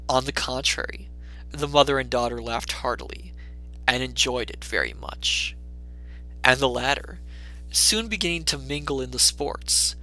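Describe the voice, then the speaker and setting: Bright-voiced man narrating a classic tale. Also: mid-range voice, rough recording. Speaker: storyteller.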